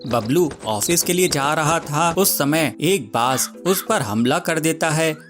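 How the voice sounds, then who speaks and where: A man's voice: fairly high pitch, lively intonation, slow, fairly bright tone. A man giving scripted narration of a children's story as a storyteller. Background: music.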